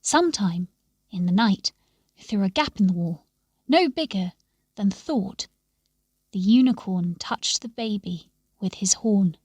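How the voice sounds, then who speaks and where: A woman narrates a story at a slow, deliberate pace. Her delivery is expressive and measured, often softening into a hush at the ends of phrases. The recording is close and dry. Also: mid-range voice, slightly rough, dry recording. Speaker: story reader.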